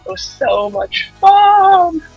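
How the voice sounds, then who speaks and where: A man's voice: very high-pitched, big pitch swings, slow pace, creaky, dull audio, soft background music, voice pushed up, heavily acted. A man voicing a playful, mischievous young village girl while reading a visual novel aloud. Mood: playful, nostalgic.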